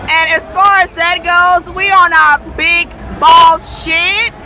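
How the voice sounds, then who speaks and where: Bold high-voiced woman in a crowd. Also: fairly even intonation, slow, noisy background. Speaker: interviewee. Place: busy city street outdoors. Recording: loud street crowd.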